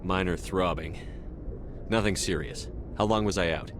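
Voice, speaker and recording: Man playing a low-voiced, terse military commander. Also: normal speed, noisy background, lightly acted. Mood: groggy, sober. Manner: terse, controlled. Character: stoic, dutiful male space marine commander. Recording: clear music behind.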